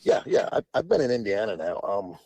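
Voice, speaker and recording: A man's voice: on the low side, big pitch swings, brisk, vocal fry, warm tone, dry recording. A man in his forties, a podcast guest telling long stories in a rambling, casual way with frequent restarts. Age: 40s. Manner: rambling, restarts often, laughs between phrases. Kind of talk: casual interview storytelling.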